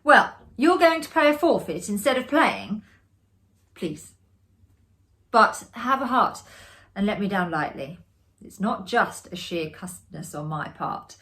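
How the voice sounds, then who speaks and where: A woman voicing a reluctant, defensive gentleman guest in a ghost story reading, protesting emphatically. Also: medium pitch, sing-song, unhurried, pauses often. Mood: reluctant, pleading.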